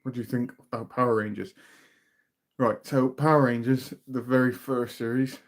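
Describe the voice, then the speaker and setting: A British man from the UK hosting a casual livestream, chatting loosely and reading out viewers' questions, often trailing off into quieter asides. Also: medium pitch, steady tone, moderate pace. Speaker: livestream host. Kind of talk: casual live chat reading viewer comments.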